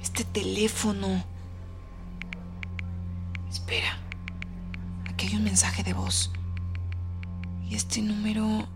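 Young flight attendant, curious, troubled, mid-pitched, thinking aloud, audio drama, clear music bed. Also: female voice, flat delivery, slow pace, pauses often. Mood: shaken. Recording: music underneath.

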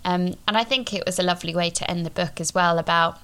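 A woman's voice: lowish voice, fast talker, bright, forceful tone, clear, resonant tone. A British woman in her thirties co-hosting a casual book discussion in a conversational, reflective way. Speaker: podcast co-host.

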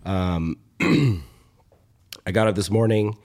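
A young man with a low, slightly hoarse voice talks casually as a podcast host. He rambles, pausing and starting again, and drops in swear words in a relaxed, conversational way. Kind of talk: casual chat.

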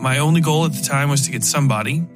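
A man's voice: on the high side, racing, over music. A man hosting a fiction podcast and narrating horror stories in a scripted storytelling style.

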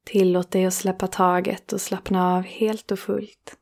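A woman leading a guided relaxation meditation, speaking slowly and soothingly with long pauses between phrases. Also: fairly low pitch, level tone, a little mellow.

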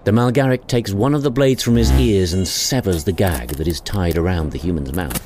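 A man's voice: sits low, a little fast, background noise. A man narrating a sci-fi audio drama as a scripted, dramatic storyteller.

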